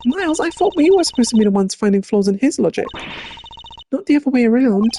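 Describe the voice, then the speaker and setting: A man voicing a spirited, impatient young girl sidekick in a detective game, pert, questioning and excitable. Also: extremely high pitch, quick pace, a little hiss or hum, voice pushed up, full character voice. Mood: impatient, puzzled.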